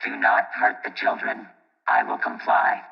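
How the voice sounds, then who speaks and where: A man's voice: a little slow, lo-fi sound. A man playing a stiff, protective combat robot in an audio drama, speaking formally and literally through a robotic filter. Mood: grave. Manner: formal, clipped, literal phrasing.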